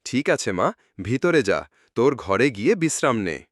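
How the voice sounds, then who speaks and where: A man's voice: medium pitch, big pitch swings, normal speed, dry recording, clean studio sound, lightly acted. A man voicing a fond but authoritative middle-aged village father in a cartoon folk tale. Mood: fatherly, decided.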